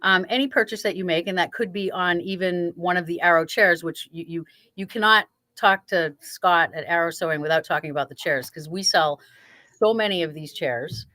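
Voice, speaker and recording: A woman's voice: fairly low pitch, normal speed, pushed and bright, dry recording. A woman hosting a casual chat in a sewing shop, eager and chatty, often repeating words as she starts a sentence.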